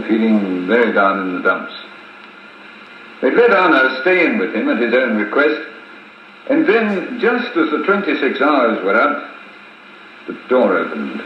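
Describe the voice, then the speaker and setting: An actor playing a weary, stoic army colonel, recounting events in a measured, formal way in 1950s radio drama style. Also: male voice, medium pitch, lightly acted. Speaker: narrator and intelligence officer character. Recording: muffled, hissy 1950s wire recording, very echoey.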